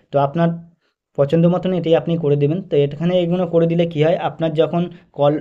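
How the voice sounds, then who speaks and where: Higher-pitched man, mellow tutorial voice. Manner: methodical, instructional, repetitive phrasing. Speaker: tech tutorial host. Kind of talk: step-by-step phone tutorial narration. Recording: dull, lo-fi voice-over recording.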